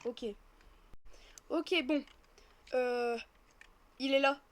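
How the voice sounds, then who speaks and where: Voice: high voice, fairly even intonation, drawn-out words. A female speaker, casually improvising a roleplay while gaming and chattily narrating each action. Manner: chatty, narrates own actions as they happen. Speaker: host of gaming roleplay videos.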